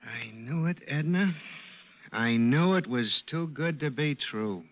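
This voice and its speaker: An actor playing a plain-spoken, anxious husband and brother, folksy and blunt, in 1940s radio style. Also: male voice, medium pitch, expressive, slow. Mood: worried, downcast. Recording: muffled, noisy old-time radio recording.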